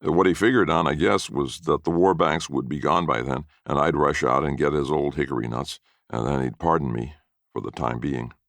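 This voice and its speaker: A man's voice: very deep voice, fairly even intonation, brisk, creaky, fairly bright tone, no room sound, studio quality. A man reading a first-person story as narrator in a folksy storytelling manner.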